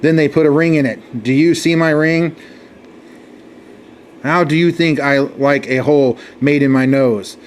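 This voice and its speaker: A man's voice: fairly high pitch, fairly even intonation, a little slow, a little hiss or hum, close to an everyday voice. A man reading a story aloud and voicing a proud, contemptuous camel that snorts and sneers. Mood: scornful. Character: scornful sneering male camel.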